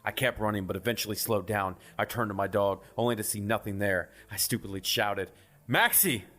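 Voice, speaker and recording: A man's voice: lowish voice, fairly even intonation, a little fast, a bit bright. A man reading a scripted first-person creepypasta as a horror story narrator. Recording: music behind the voice.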